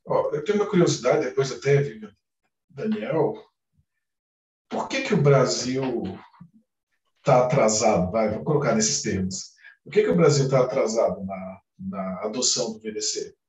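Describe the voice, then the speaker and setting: A man's voice: mid-range voice, animated, moderate pace, darkish tone, far from the mic. A man moderating a webinar on a video call, hesitant and full of fillers. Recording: roomy sound from off mic.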